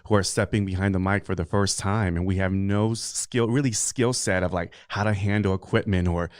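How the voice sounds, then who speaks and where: A man's voice: low voice, fairly even intonation, moderate pace, smooth, no room sound, clean studio sound. A middle-aged man speaking as a podcast consultant guest, answering interview questions in an earnest, rambling way with many fillers.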